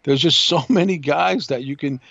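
A man's voice: on the high side, normal speed, vocal fry, a bit bright, no room sound, highs cut off. A man co-hosting a podcast in casual talk, speaking emphatically and repeating himself for effect. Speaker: podcast co-host.

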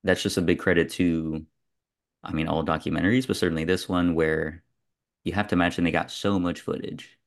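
A man's voice: sits low, steady tone, brisk. A man hosting a podcast, talking through films in a casual, reflective and discursive way among friends. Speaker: podcast host.

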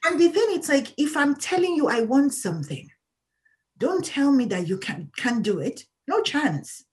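A woman's voice: sits high, sing-song, normal speed. A woman guest in an interview, talking candidly as a patient advocate in an emphatic, outspoken way and laughing often.

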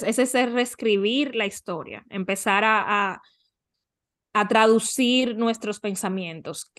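A woman coach talks as a guest on a podcast at a medium pitch. She gives advice conversationally, often posing questions and answering them with examples.

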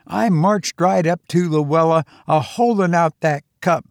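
A man reading a first-person story as an audiobook, in a folksy, expressive manner with rustic dialect phrasing. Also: a little high, unhurried, vocal fry, no room sound, clean studio sound. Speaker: audiobook reader.

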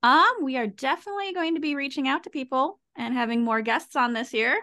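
A woman's voice: sits high, fairly quick, sharp tone. A woman guest on a casual podcast, easygoing and giggly. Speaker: podcast guest and co-host of another podcast. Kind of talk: casual chat.

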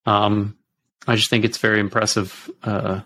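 A man's voice: fairly low pitch, level tone, moderate pace, sharp tone. A man hosting an interview, asking questions hesitantly with many ums and restarts. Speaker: podcast host. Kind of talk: casual interview.

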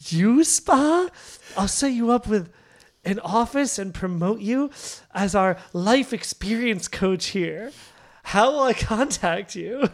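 A woman playing a brisk, polite, businesslike company owner in a comedy script table read. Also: medium pitch, animated. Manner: corporate, matter-of-fact.

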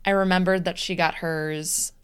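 Young woman podcast co-host, smooth low-leaning voice, casual storyteller. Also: normal speed. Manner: animated storytelling, acts out her own texts. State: recovering from vaccine side effects. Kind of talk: casual chat.

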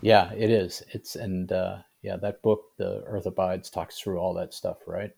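A man's voice: sits low, level tone, unhurried, vocal fry, darkish tone, husky. A middle-aged man being interviewed as an author, talking casually and often checking in with the listener. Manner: conversational, often tags sentences with "right?".

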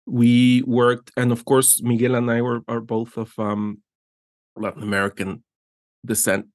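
A man's voice: mid-range voice, little pitch movement, a little slow, dry recording. A middle-aged man from Guatemala talks eagerly in a casual interview. He often restarts his sentences and tags them with "right?". His voice sits in a middle range and sounds dry and close to the mic. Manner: enthusiastic, rambling with frequent restarts and "right?" tags. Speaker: art director, interview guest.

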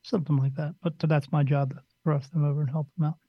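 A man's voice: on the high side, little pitch movement, fast talker, no echo, muffled recording. A man who works as a spirit medium, talking casually on a podcast in a blunt, rambling way and slipping into other people's voices.

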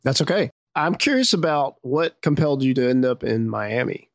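A man's voice: mid-range voice, animated, moderate pace. A male podcast host asking a guest curious, friendly questions. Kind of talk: interview questions. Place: outdoors.

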